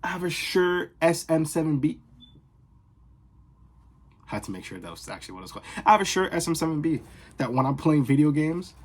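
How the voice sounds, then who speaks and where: A young man from the Boston area, vlogging in casual, sarcastic rambles that swing from loud outbursts to whispers, recorded on his phone in his bedroom. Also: a little high, lively intonation, fairly quick, pauses often. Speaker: fragrance vlogger. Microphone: phone camera.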